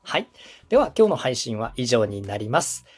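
Lively, husky-voiced male podcast coach. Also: mid-range voice, moderate pace, soft background music. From Osaka, Japan. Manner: polite, explanatory, encouraging. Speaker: podcast host, coach, radio director. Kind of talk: instructional advice in a podcast dialogue.

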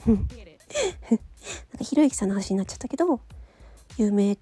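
A woman's voice: mid-range voice, expressive, brisk, mellow, faint music behind. A woman host chatting casually on her own, with a questioning, analytical way of talking. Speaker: host of a solo audio show, amateur voice actor. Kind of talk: casual solo chat.

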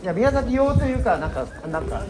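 友人同士でくだけた雑談をする男性のミュージシャン。. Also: male voice, very high voice, sing-song, fairly quick. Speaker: musician. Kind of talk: casual chat among friends. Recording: street recording with background chatter. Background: outdoor street crowd noise.

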